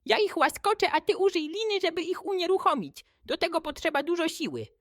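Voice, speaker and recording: A woman voicing a small, clever mouse detective in a children's audio story, talking fast and eager. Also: sing-song, no echo, clean studio sound. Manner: quick, eager, high.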